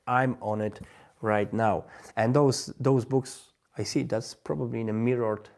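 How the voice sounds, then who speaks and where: Halting man with a Polish accent. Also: medium pitch, steady tone, gravelly, darkish tone. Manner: halting, searching for words, many restarts. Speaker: language-learning livestream host. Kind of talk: live stream monologue.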